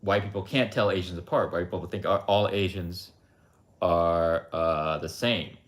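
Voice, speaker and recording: Low-voiced blunt man rambling. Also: steady tone, unhurried. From United States. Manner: rambling, blunt, frequent asides with "like" and "um". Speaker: livestream host. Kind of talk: casual opinionated monologue. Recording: roomy echo.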